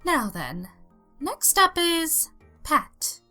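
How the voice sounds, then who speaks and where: A woman's voice: high voice, big pitch swings, drawn-out words, sharp tone, soft background music, full character voice. A woman playing a flustered, squeamish pony schoolteacher in an audio drama. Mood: composed. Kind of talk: fan audio drama acting.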